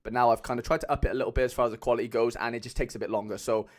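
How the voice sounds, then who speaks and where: A young man with a Cardiff accent chatting casually as a livestream host, loose and rambling with lots of fillers, speaking into a mic on a boom arm at home. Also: fairly even intonation, racing. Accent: Cardiff Welsh. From Wales. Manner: chatty, loose, many fillers, calls viewers lads.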